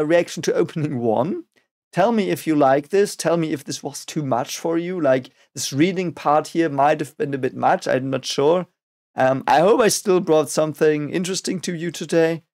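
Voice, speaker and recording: Raspy man musing over lyrics. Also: fairly high pitch, darkish tone. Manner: musing, halting, repeats words often. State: voice raspy from a cold. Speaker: reaction video host. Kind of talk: casual reaction and lyric analysis.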